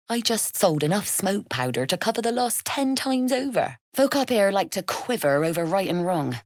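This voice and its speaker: A woman's voice: mid-range voice, no echo, clean studio sound. A woman playing a shrewd, worldly smuggler and trader in a video game, speaking in a sly, wry, businesslike manner. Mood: sly, pleased. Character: shrewd, worldly female smuggler-merchant of a criminal trade network. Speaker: trader and guild agent. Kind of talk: video game character dialogue.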